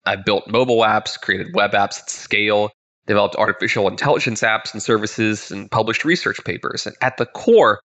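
A man's voice: fairly low pitch, moderate pace, fairly bright tone, dull audio. A man in his thirties hosting a podcast, talking upbeat and conversational, his voice a bit congested, nasal and crackly from being ill. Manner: upbeat, conversational, with frequent word repeats. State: congested, slightly nasal from illness. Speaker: podcast host. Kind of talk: solo podcast monologue.

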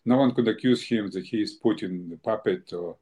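A man's voice: lowish voice, moderate pace, dark tone, a little hiss or hum. Hesitant older man. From born in Ukraine, came from Russia. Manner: hesitant, many fillers and restarts. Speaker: guest. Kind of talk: interview answers.

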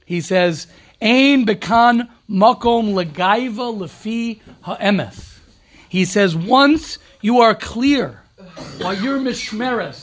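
A man's voice: sits high, fairly bright tone, a little hiss or hum. A rabbi giving an informal talk to yeshiva students, emphatic and repeating key phrases, often asking and answering his own questions. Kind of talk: informal Torah talk to a class.

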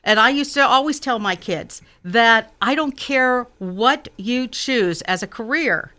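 A middle-aged woman from Western Pennsylvania, a political candidate answering interview questions earnestly, often pausing and restarting. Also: a little high, lively intonation, sharp tone. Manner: earnest, halting with fillers and restarts.

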